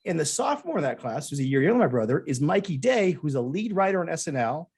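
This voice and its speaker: A man's voice: a little high, big pitch swings, fast, a little mellow, breathy edge. A man hosting a podcast, chatty and rambling as he tells a self-deprecating personal story. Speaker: podcast host. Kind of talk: casual interview chat.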